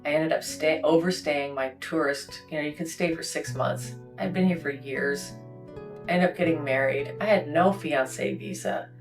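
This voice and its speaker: Low-voiced woman from Canada, candid. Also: normal speed. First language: English. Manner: candid, storytelling, conversational asides. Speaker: host. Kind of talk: casual conversation. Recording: faint guitar music behind.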